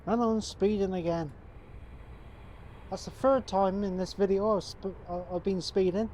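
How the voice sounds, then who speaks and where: Male gaming host, congested from a cold, warm low-key tone, relaxed running commentary. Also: moderate pace, slight background noise. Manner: relaxed, chatty, talks to viewers as folks. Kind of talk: casual gameplay commentary.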